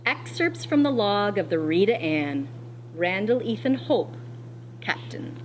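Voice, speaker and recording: A woman teacher reading a picture book aloud to children in an expressive, deliberate way, pausing to explain as she goes. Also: mid-range voice, a little hiss or hum, low-quality recording.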